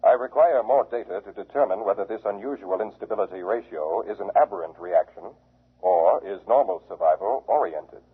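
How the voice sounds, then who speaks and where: Husky robot psych examiner. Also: male voice, lowish voice, expressive. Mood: clinical. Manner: formal, clinical, measured delivery. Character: cold, pedantic robot psychological testing machine. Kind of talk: 1950s radio drama acting. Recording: muffled, phone-like old radio broadcast.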